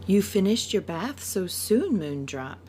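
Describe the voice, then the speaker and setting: A woman playing a loving, gentle mother in an amateur audiobook reading. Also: medium pitch, a little slow. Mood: tender. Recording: clear steady background noise.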